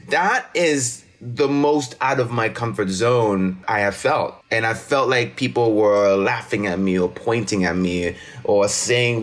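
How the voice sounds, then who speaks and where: A man from Cameroon, a non-native English speaker, telling personal stories expressively and emphatically as a teacher being interviewed. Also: on the low side. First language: not English. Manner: expressive, emphatic, often checks with "right?". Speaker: teacher and actor, interview guest.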